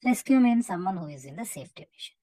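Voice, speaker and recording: A woman with an Indian accent teaching a live online English class in a brisk, instructive way with short, clipped remarks. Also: mid-range voice, expressive, dark tone, no room sound. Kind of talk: live interactive lesson, calling out names and marking answers.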